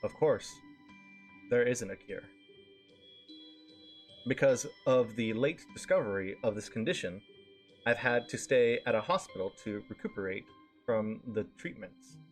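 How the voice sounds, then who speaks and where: A man's voice: on the low side, expressive, a little slow, long pauses, soft background music. A male streamer reading a visual novel aloud with casual asides, stumbling over words and swearing casually.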